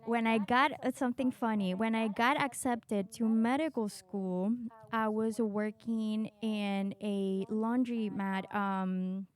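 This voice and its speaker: A woman from Chile telling personal stories casually as a podcast guest, in an animated, candid, self-mocking way. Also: fairly high pitch, slow, clear, resonant tone. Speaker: podcast guest, doctor in training.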